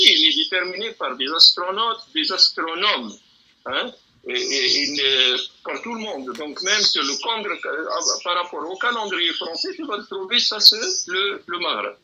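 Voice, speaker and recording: A man explaining a religious question in a relaxed, hesitant way, with many restarts and fillers, sometimes quoting scripture. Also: on the high side, husky, dull audio. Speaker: imam answering a question.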